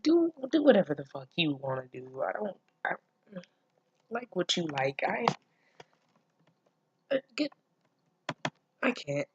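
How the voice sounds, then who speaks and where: Low-voiced woman, sweary exasperated commentary. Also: very animated, slow pace, long pauses, husky, muffled recording. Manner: breaks into laughter and stammers. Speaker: reader and commentator of a fan fiction series. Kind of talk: casual comedic reading with running commentary.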